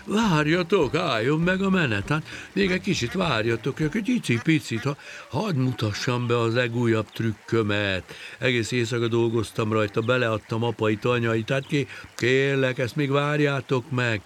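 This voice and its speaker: A man voicing a boisterous, scheming fisherman in a children's story, with booming cries and hearty ho-hos. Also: slight background noise, natural voice. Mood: pleading. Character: boisterous, scheming, dramatic fisherman hunting his fish.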